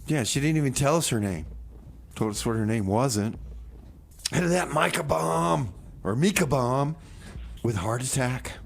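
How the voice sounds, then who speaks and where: A man playing an easygoing, excitable radio host in a doomsday bunker, chatting casually in a rambling, folksy way. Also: mid-range voice, measured pace, creaky, pauses often, slightly rough, full character voice. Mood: enthusiastic. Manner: rambling, folksy, repeats himself. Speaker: community radio music show host. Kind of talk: casual chat with improvised comedy radio theater.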